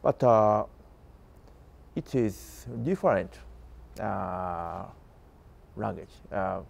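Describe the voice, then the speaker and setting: A middle-aged Japanese man with a Japanese accent, speaking halting English and searching for words. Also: lowish voice, expressive, gravelly, a little mellow. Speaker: father learning about America.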